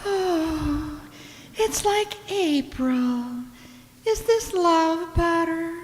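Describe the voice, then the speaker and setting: A coy young society lady of the vegetable world played by a woman, high-voiced and theatrical. Her pitch swoops as she lingers over gushing, affected phrases in a languid drawl. It is a comic radio play with a hall's reverb around it. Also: expressive, extremely slow, a little hiss or hum, full character voice. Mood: dreamily smitten. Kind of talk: satirical radio play acting. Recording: hall-like stage reverb.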